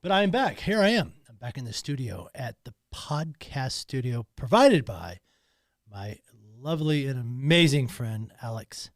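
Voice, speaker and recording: A man's voice: mid-range voice, big pitch swings, moderate pace, no echo. A man talking alone in a podcast studio, emotional and emphatic, often repeating himself. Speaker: podcast host. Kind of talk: personal monologue.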